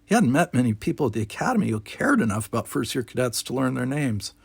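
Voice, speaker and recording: A man narrates a novel as a storybook reader. His voice sits at a middling pitch with a husky, unpolished edge, and the recording is very dry. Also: very animated, fast, no room sound. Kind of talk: scripted narration of a novel read aloud.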